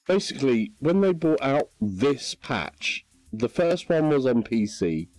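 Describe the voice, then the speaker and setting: A man chatting casually on a VR game livestream, relaxed and hesitant, with many ums. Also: medium pitch, measured pace, no echo, faint music behind, rough recording. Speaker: livestream gamer.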